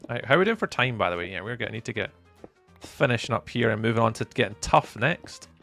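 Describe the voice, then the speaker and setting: A young Scottish man from the east coast, live-streaming and chatting to viewers in a teasing, up-and-down way, from loud bursts to low mutters. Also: very animated, fast, soft background music. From east coast of Scotland. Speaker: livestreamer.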